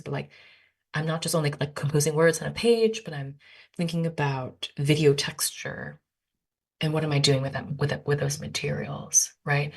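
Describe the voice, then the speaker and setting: A woman whose first language is Vietnamese, a poet guest talking casually and thinking out loud with many filler words. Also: sits low, gravelly, husky. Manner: thinks aloud with many "like" fillers and self-corrections. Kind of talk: casual conversational interview.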